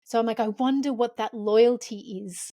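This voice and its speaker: A woman's voice: on the high side, steady tone, moderate pace, dark tone, no room sound, clean studio sound. A woman from Lebanon hosting a podcast, talking openly and reflectively in casual chat. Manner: open, emotional, reflective. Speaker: podcast host.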